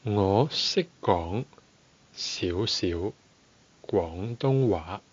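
A man teaching a podcast language lesson, speaking slowly and deliberately and repeating phrases for learners. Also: lowish voice, animated, breathy edge, highs cut off.

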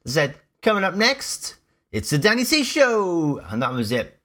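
A man's voice: high voice, huge pitch swings, normal speed, a bit bright. A Welsh man hosting a casual gaming podcast, talking in an upbeat, announcer-like way. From Wales. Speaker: podcast host.

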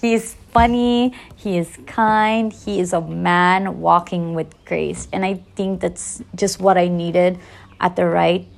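A woman's voice: lowish voice, fairly even intonation, slow, gravelly. A woman in her twenties from the Philippines, speaking in an earnest, conversational way in a reflective personal podcast monologue. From the Philippines, later the United States. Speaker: podcast host.

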